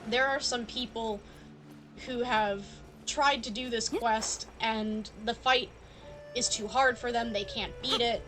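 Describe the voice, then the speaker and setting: Female game streamer: high-pitched, casual live commentary over music. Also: steady tone, slow, a bit bright.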